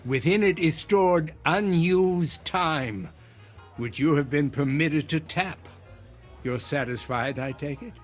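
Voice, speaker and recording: An actor playing a sinister, genteel older man in a radio drama, speaking in measured, savoring, ornate phrases. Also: on the high side, faint music behind. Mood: smooth, knowing.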